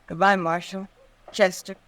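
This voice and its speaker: A woman's voice: fairly low pitch, flat delivery, a little slow, a bit bright. An actress playing a pleading young saloon woman in a 1950s radio western, speaking plainly and earnestly with an American accent. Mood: subdued. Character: pleading young frontier saloon woman caught between suitors.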